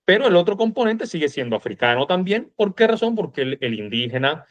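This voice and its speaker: Cuban man, fairly high voice, emphatic informal storyteller-commentator, slightly muffled lo-fi sound. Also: very animated, a little fast. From Cuba. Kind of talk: animated informal history discussion.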